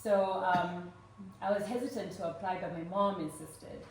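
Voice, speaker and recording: A woman in her forties from Uganda tells her personal story to a live audience at an event, earnest and warm, with frequent "um" fillers. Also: mid-range voice, normal speed. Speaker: speaker at a fundraising event. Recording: roomy echo, voice from far off, faint noise.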